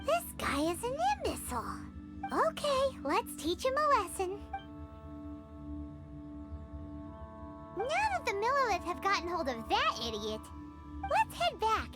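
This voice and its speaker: A woman voicing a tiny, bossy, excitable fairy companion girl in a video game, with a squeaky childlike voice. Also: moderate pace, full character voice. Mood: smug. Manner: speaks of herself in third person, exclamatory. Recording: music behind the voice. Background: game music.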